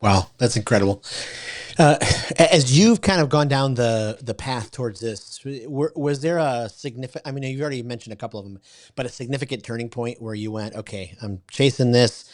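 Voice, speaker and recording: A man's voice: medium pitch, brisk, a little mellow. A man hosting a podcast, reacting with amazement and stumbling through his questions. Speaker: podcast host. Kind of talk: casual interview conversation.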